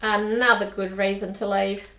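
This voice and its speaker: A woman in her forties voicing a brisk, bossy spinster bank clerk in an amateur radio play. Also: medium pitch, level tone, a bit bright, natural voice. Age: 40s. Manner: brisk, bossy, matter-of-fact. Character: bold forty-something spinster bank clerk. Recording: echoey room.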